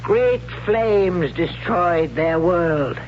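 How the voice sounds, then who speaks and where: A stern old high priest and father in a 1970s radio drama. He declaims slowly and solemnly in a raised register, devout and commanding. The recording is dull, with clear hiss and hum. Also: animated, full character voice. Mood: grave. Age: older.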